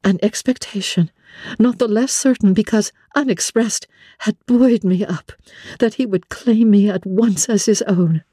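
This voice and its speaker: A woman narrating classic literature in a measured, expressive storytelling manner. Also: medium pitch, dry recording, studio quality.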